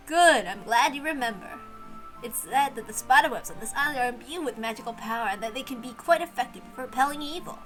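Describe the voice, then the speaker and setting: Kindly servant woman played by a female reader, playful and coaxing, explaining gently in visual novel style over music. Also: on the high side, animated, fast, rough recording. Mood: playful, instructive. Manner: gentle, coaxing, chuckling storyteller.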